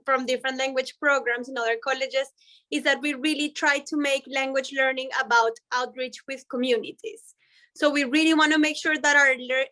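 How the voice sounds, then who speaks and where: A woman's voice: sits high, pushed and bright. A woman from Colombia, a language professor giving an upbeat presentation on a video call. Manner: upbeat and explanatory, speaks in long flowing sentences. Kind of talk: presenting in an online panel.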